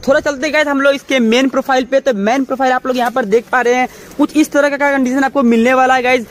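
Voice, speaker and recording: A man's voice: extremely high pitch, fairly even intonation, quick pace, faint music behind. A young man hosting a walkaround video at an outdoor used car lot, presenting to viewers in a repetitive, filler-heavy way. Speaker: car showroom video host.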